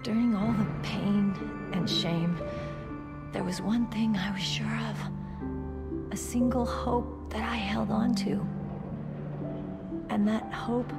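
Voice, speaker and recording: A woman playing a wounded young soldier in a video game, tough yet tender, speaking haltingly and emotionally. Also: mid-range voice, steady tone, creaky. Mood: tearful tenderness. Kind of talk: dramatic video game cutscene acting. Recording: music behind the voice.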